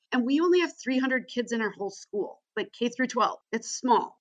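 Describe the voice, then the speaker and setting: A woman's voice: mid-range voice, a bit bright, rough recording. A woman with a Wisconsin accent, a guest on a podcast interview, talking energetically and enthusiastically and tagging her points with "right?". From Wisconsin, USA. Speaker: guest, school social media trainer.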